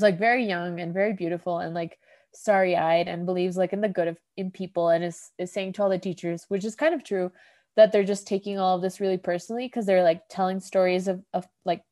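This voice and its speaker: A woman's voice: lowish voice, steady tone, brisk, a little mellow. A woman podcast host casually recapping a TV episode, rambling with lots of "like" fillers. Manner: rambling, heavy use of "like", laughs often.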